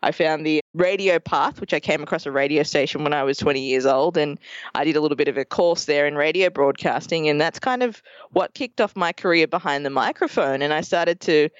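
A woman in her thirties with an Australian accent, a podcast producer chatting as a guest in a lively, bubbly way, laughing often. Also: low-pitched, fairly quick, clean tone, dry recording, muffled recording. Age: 30s. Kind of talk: casual interview chat.

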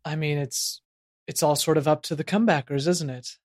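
A man's voice: fairly high pitch, fairly even intonation, a little fast, slight background noise, lightly acted. A man playing a meek, earnest amateur playwright with a theatrical streak in a comedy audio drama. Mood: sheepish. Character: meek, earnest amateur playwright performing his own play.

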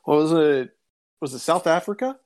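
A man's voice: fairly high pitch, expressive, normal speed, a little mellow. A man co-hosting a casual chat, thinking aloud and puzzling things over in a conversational way. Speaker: podcast co-host. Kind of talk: casual chat reviewing old radio serials.

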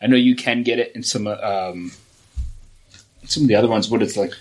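A man's voice: lowish voice, moderate pace, low-quality recording. A man guesting on a podcast, joking around in easygoing chat. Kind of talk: casual chat.

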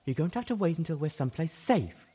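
A man voicing a caring female pony healer who speaks softly and reasons firmly. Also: a little high, big pitch swings, quick pace, slightly rough, pitched above the performer's own voice. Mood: gentle, firm. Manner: soft, reasoning, sometimes stern.

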